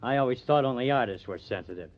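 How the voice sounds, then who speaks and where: A man's voice: medium pitch, fast talker, close to an everyday voice. An actor playing a cynical young male newspaper artist with an affected, wry manner in an old-time radio crime drama, on a muffled old recording. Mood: sardonic. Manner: sardonic, affected, theatrically wry.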